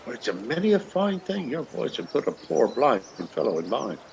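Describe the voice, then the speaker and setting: An actor playing a shabby, sharp-tongued old Irish beggar in a radio play, with a mocking, flowery, theatrical manner. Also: male voice, mid-range voice, big pitch swings, normal speed, creaky. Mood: coaxing. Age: older. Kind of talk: radio play acting of rural Irish dialect.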